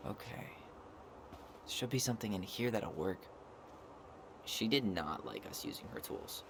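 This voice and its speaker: A young man with an American accent playing a gentle, sentimental character in a video game cutscene, casual and earnest. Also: deep voice, big pitch swings, unhurried, vocal fry, heavily acted. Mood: casual, practical. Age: young adult. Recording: noisy game stream capture with ambience.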